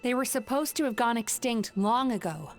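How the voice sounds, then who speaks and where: A woman playing a calm, knowing young dream-eating yokai in an anime video game, explaining in measured lines. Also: a little high, soft background music. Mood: grave, knowing.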